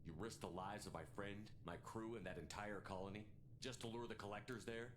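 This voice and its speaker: An actor playing a blunt, determined military commander in a video game, speaking tersely and assertively. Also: male voice, fairly low pitch, fairly even intonation, fairly quick, noisy background, close to an everyday voice. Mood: accusing. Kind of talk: cinematic video game acting.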